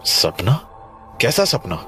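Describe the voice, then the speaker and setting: A man playing a calm, analytical investigator with a measured, thoughtful way of talking in an animated horror story. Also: soft background music. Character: calm, analytical male investigator of a cursed coin.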